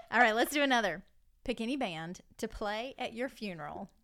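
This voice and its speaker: A woman's voice: a little high, lively intonation, moderate pace, crowd noise. A Southern woman co-hosting a faith podcast. She asks the questions in a playful, giggly way. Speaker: podcast co-host. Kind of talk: casual chat, asking the questions.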